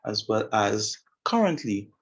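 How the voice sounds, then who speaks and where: A man's voice: on the low side, sing-song, unhurried, slightly rough. A young Trinidadian man giving an informal talk about a woman he interviewed, speaking hesitantly with many fillers and restarts. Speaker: student presenter. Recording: muffled, lo-fi sound.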